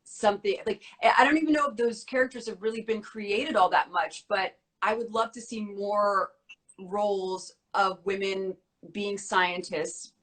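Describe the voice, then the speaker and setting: A young woman chatting as an interview guest, her voice strained and a little worn because she is losing it. She talks fast and bubbly, with plenty of filler words. Her pitch sits in the middle. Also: steady tone, fairly bright tone, rough recording. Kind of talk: casual interview chat.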